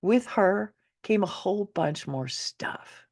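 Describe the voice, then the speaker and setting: A middle-aged woman narrates her own story as if talking straight to the listener. Her voice has a gravelly creak and swings widely in pitch. She is candid and wry, leaning into key words for dramatic effect. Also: lively intonation, takes it slowly, a little mellow. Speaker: author reading own memoir. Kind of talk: conversational audiobook narration.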